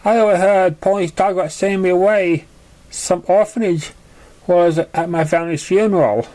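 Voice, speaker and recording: A man voicing a young orphaned pony girl, wounded but plucky, telling her story haltingly in an amateur fan fiction reading. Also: high-pitched, steady tone, mellow, natural voice. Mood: recounting, subdued.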